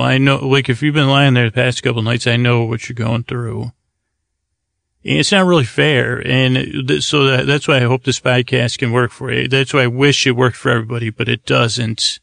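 A man hosting a sleep podcast in a rambling monologue, halting and drifting into tangents, with a creaky, gravelly voice. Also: medium pitch, fairly bright tone, no room sound, clean studio sound. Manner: meandering, halting, trails off into tangents. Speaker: podcast host.

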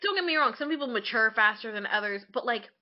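A woman's voice: fairly high pitch, expressive, fast, dull audio. A woman in her mid-twenties chatting casually as a podcast co-host, opinionated and emphatic, with blunt wording. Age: mid-20s. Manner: blunt, frequent swearing, emphatic.

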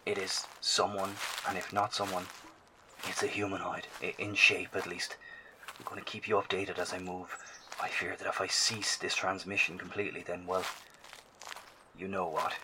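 An actor playing an anxious, scholarly survivor who broadcasts earnest, hesitant field reports in an audio drama. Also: male voice, low voice, flat delivery, normal speed, natural voice. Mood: uneasy, alert. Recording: clearly noisy field transmission.

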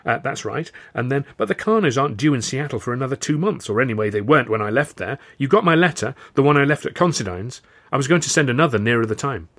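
A man's voice: medium pitch, lively intonation, fast, fairly bright tone, pitched above the performer's own voice. A man playing an eager, flustered music-hall comedian who pleads and persuades in an audiobook. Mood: explaining. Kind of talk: audiobook character dialogue.

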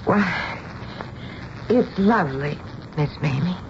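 A woman's voice: fairly low pitch, lively intonation, slow pace. An actress playing a kindly but firm middle-aged mother in a radio drama, earnest and reassuring. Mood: gently probing. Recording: dull lo-fi radio recording, faint hiss.

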